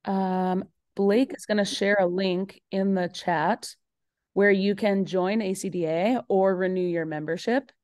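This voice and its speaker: Unhurried woman, mellow dry voice. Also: medium pitch, fairly even intonation, no room sound. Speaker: organization host making announcements. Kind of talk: casual announcements. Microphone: video call.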